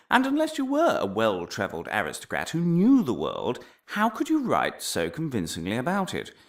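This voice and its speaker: Pompous, wildly swooping crackpot professor. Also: male voice, lowish voice, creaky, full character voice. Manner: pompous, theatrical, with dramatic pauses and sudden outbursts. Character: pompous crackpot male professor lecturing on Shakespeare conspiracy. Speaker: lecturer. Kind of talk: comic monologue as a mock lecture.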